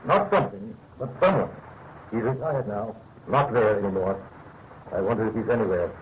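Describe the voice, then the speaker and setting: Casting: male actor as stern senior intelligence chief, sardonic and measured, radio play, narrow phone-like sound with faint noise. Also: lowish voice, lightly acted. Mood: reflective, grave. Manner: dry, sardonic, deliberate. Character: gruff older male spy chief, dry and exacting. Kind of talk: radio drama acting.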